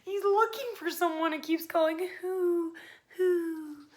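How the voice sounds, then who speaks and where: A woman's voice: very high-pitched, fairly even intonation, slow, voice pushed up. A woman voicing a small, frightened young male mouse in a storybook, calling out urgently and pleading. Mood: alarmed, urgent. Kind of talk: children's picture-book read-aloud.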